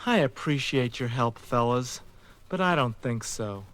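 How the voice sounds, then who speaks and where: A man's voice: medium pitch, lively intonation, unhurried, fairly bright tone, faint music behind. A man playing a kindly, put-upon songwriter and father figure with emphatic delivery on a children's record. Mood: dejected. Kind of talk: children's read-along record acting.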